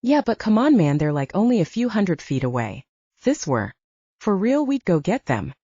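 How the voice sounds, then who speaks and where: A woman puts on a brash male werewolf soldier for an audiobook. He is needling and slangy, arguing in an exasperated way with animated pitch and a dark, husky tone. The audio is dull. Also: normal speed, no room sound. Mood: pleading. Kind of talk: audiobook character dialogue.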